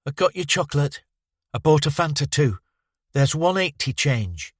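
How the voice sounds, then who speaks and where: A man's voice: on the high side, animated, normal speed, no room sound, clean studio sound, voice pushed up. A man reading aloud, voicing a prim, matter-of-fact little girl of nearly ten.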